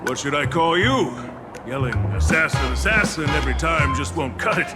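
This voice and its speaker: A man's voice: on the high side, expressive, moderate pace, lightly acted. A man playing a hot-tempered, swaggering gangster underboss in a video game, brash and theatrical, sliding between snarls and mock politeness. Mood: mock polite. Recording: loud background music.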